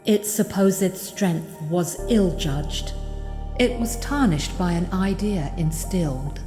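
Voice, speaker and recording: Woman playing a slow, echoing, regal spirit queen. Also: on the low side. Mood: grave pleading. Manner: formal, archaic, measured. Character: regal, solemn female spirit queen of a fallen kingdom. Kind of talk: dramatic video game character acting. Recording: echoing, reverberant voice. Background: music.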